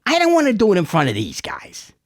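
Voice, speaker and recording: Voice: medium pitch, wildly swooping pitch, quick pace, dry recording, clean studio sound. The narrator voicing a sheepish, reluctant, dim henchman with hesitant, slangy, self-doubting speech.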